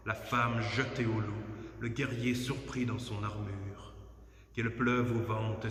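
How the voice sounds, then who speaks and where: A man giving a solemn, measured, declamatory poetry recitation inside a church. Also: lowish voice, a bit bright. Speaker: poetry reader. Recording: very echoey church, long reverb.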